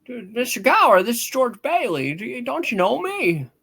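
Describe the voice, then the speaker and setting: Woman acting exasperated everyman, raspy, high, swinging pitch, frantic, comic radio-play reading. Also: very animated, normal speed, fairly bright tone, heavily acted. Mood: bewildered. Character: frantic, bewildered, exasperated family man. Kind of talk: hammed-up amateur puppet-show play reading.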